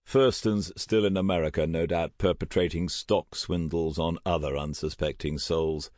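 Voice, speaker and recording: Man voicing a low, sardonic gentleman. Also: fairly even intonation, moderate pace, dry recording, natural voice. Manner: dry, wry, unbothered. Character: dry, sardonic, amused aristocratic gentleman cousin. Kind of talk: audiobook character acting.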